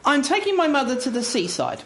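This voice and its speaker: Woman doing meek little guy, long-suffering son, high voice that jumps around, radio play. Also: sing-song, brisk. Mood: polite, doubtful. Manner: dry, hesitant, long-suffering. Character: meek, doubtful, dutiful little man, grown son. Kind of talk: live radio theatre. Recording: noisy live radio studio recording.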